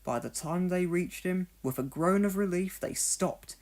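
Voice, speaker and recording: A narrator reading a children's read-along story expressively, in deliberate phrases. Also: medium pitch, a little mellow.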